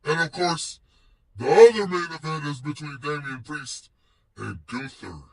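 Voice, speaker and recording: A man's voice: fairly high pitch, measured pace, gravelly, darkish tone, recorded from a distance, a little hiss or hum. A man vlogging casually about wrestling in a slangy, rambling, playful way, swearing freely. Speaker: vlogger and show host. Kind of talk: casual wrestling chat vlog.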